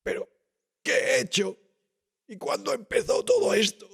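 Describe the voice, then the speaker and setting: A man voicing an anguished, frightened young male student with a hoarse, faltering voice in an audiobook horror story. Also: very high voice, very animated, unhurried, pitched above the performer's own voice, exaggerated voice. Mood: anguished, desperate. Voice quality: hoarse, husky. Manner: desperate, faltering.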